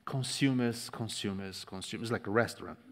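A man whose first language is Portuguese, preaching a sermon with passion, swinging between loud stresses and hushed lines and repeating words for emphasis. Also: on the low side, normal speed. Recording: long reverb of a large hall.

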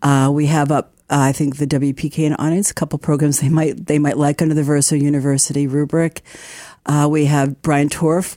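A woman's voice: low-pitched, mellow, dry recording, clean studio sound. A woman hosting a radio program in a conversational, upbeat and promotional way. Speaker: radio host, library community partnership director.

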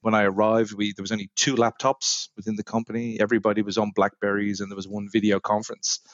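A middle-aged Irish man, a technology executive, answering podcast interview questions in a measured way with frequent fillers. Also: fairly low pitch, level tone, no room sound, muffled recording. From Ireland.

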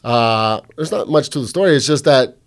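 A middle-aged man from the American Southwest chats on a podcast in a loose, profane, wandering way. He is a little drunk and hungover, and his voice sits at an ordinary pitch for a man. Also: normal speed. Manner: loose, profane, rambling storytelling. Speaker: podcast host. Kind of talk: casual chat.

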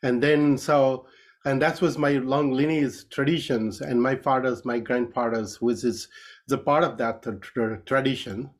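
Nepali-accented man explaining haltingly. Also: medium pitch, fairly even intonation. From Nepal, Kathmandu Valley. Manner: halting, repetitive phrasing in non-native English. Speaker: interview guest, Buddhist priest and dance master. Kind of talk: conversational explanation.

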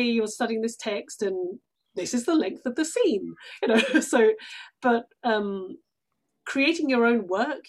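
A woman speaks in a relaxed, reflective interview register. Her pitch sits on the high side, and she talks conversationally as a stage actress guest. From London, England. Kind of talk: casual reflective interview talk.

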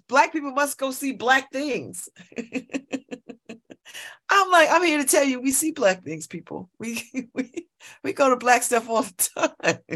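A woman's voice: high voice, a bit bright. A woman in her late fifties hosting a morning radio show, chatting casually and exuberantly, laughing often and repeating herself as she talks. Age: 50s. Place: radio studio.

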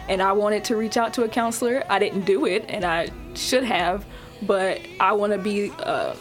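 Reflective young woman, college guest. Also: mid-range voice. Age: 20s. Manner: hesitant, reflective, with fillers. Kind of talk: casual chat.

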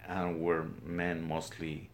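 An older man with a Guatemalan Spanish accent recounts his life as a weaver in an interview, speaking plainly and haltingly in his studio. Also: low-pitched, monotone, a little hiss or hum. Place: weaving studio, indoors.

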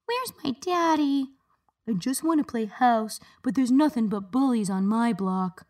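Woman putting on small murmuring painted children, plaintive, high, storybook. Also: expressive, unhurried, a little mellow, far from the mic.

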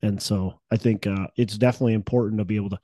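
A man's voice: lowish voice, steady tone, racing, warm tone, dry recording, clean studio sound. A man hosting a podcast in casual chat, with a stuffy nose and a cough, rambling with many fillers and restarts. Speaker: podcast host.

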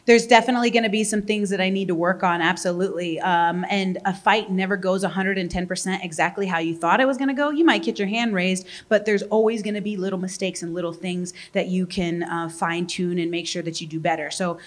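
A woman's voice: fairly low pitch, fairly even intonation, fairly quick, few pauses. A young adult woman, a professional fighter, answering interview questions in a candid, talkative way. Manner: candid and talkative, laughs easily.

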